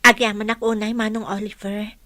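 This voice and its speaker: A woman's voice: mid-range voice, level tone, a little fast, sharp tone, no echo, natural voice. An actress with a Filipino accent playing an earnest, devoted young single mother in a radio drama. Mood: grateful.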